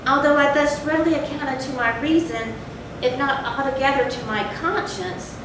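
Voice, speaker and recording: Woman as a tormented gothic narrator, echoey and distant. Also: lightly acted. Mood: awed, uneasy. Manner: theatrical, deliberate, emphatic. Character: guilt-tormented narrator of a gothic horror tale. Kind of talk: dramatic readers-theatre recitation of a gothic story. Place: live theatre stage. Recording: very echoey stage, distant mic, noisy rumble.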